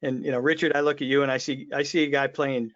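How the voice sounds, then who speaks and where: A man's voice: mid-range voice, level tone, fast, a bit bright. A man hosting a casual live trade chat, informal and fond of repeating his phrases as he reads out viewer comments. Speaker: host of a trade webinar. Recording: dull lo-fi online call audio.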